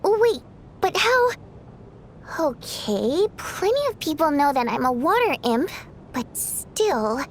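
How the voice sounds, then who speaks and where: A woman voicing a playful female water imp stage performer in a game, lively and teasing. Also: high voice, a little hiss or hum, heavily acted. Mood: curious. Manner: lively, teasing, quick to protest. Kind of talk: video game voice acting.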